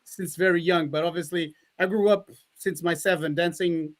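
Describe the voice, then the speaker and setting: A man's voice: fairly high pitch, steady tone, moderate pace. A man from Mozambique who grew up in Portugal, talking casually as a talk show co-host. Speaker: senior co-host, dance teacher and DJ.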